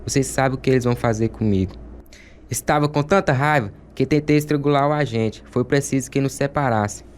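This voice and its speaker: A man's voice: mid-range voice, a little fast, slight background noise, natural voice. A man acting a troubled, guilt-ridden prisoner confessing in a radio drama. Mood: remorseful, afraid. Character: guilt-ridden fellow prisoner turned informer. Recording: faint background ambience.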